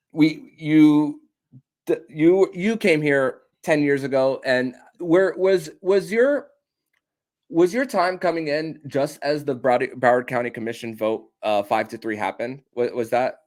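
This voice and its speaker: A man's voice: on the high side, unhurried, a little mellow. A man hosting a podcast who asks long, rambling questions full of restarts and repeated words. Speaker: podcast host. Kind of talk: casual podcast interview.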